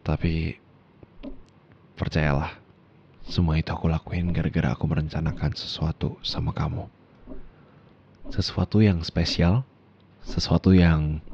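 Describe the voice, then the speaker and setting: A man's voice: a bass voice, highs cut off, a little hiss or hum. A man playing a tender young boyfriend speaking in a taped message for an ASMR roleplay, heartfelt and teasing. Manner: soft, heartfelt, teasing with laughs.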